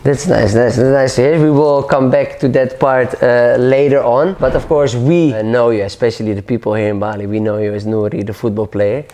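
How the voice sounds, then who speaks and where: Casting: male host from the Netherlands, easygoing mid-pitch voice, friendly questions. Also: fairly even intonation, a little fast, hardly any pauses, mellow, slight background noise. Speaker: interview host. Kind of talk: conversational interviewing. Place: dim studio lounge with sofa.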